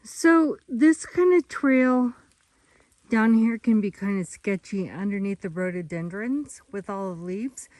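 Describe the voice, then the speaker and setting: A woman's voice: on the high side, a little mellow. A woman vlogging outdoors on a mountain trail, narrating her hike in an upbeat, chatty way into a handheld camera. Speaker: thru-hiker vlogger. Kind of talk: casual vlog narration.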